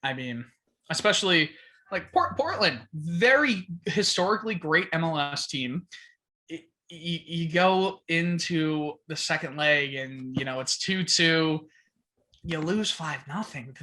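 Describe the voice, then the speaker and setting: A man co-hosting a sports podcast, chatting casually with many fillers and laughs. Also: on the high side. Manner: halting, lots of fillers and laughs, animated rises. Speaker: podcast co-host.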